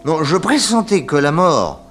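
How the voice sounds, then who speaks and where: An actor playing a cocky, excitable male private detective in a 1960s French radio comedy serial, theatrical and comically hesitant as he recounts his case. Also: a little high, measured pace, faint music behind. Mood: explanatory, boastful.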